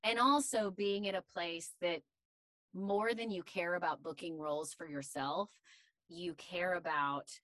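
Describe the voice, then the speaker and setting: A woman voice actor with a deep voice, talking candidly and chattily in an interview, with many fillers. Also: fairly even intonation, a little slow, fairly bright tone, dry recording. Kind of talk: casual interview chat.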